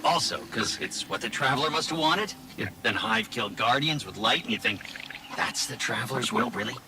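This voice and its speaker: A man's voice: medium pitch, lively intonation, a little fast, background noise, close to an everyday voice. An actor playing a nervous, evasive male robot companion who stammers and rambles in video game dialogue. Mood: rambling. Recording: loud game music behind.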